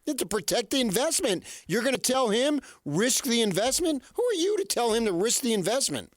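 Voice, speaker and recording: A man's voice: very high voice, sing-song, fairly quick, dry recording. An American man co-hosting sports radio, challenging his partner with rhetorical questions in unscripted debate.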